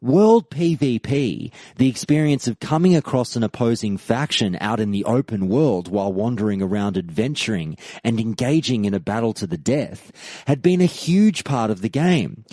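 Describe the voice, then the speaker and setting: A man's voice: lowish voice, mellow, dry recording. A man hosting a history podcast, reading a scripted, earnest account and quoting old forum posts. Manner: earnest storyteller, reads quoted posts aloud. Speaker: podcast host.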